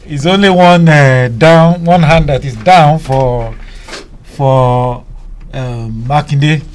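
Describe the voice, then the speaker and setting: Halting male host, higher-pitched voice. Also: soft background music. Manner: halting, repeats phrases as he goes. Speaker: radio talk show host. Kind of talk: live discussion show presenting.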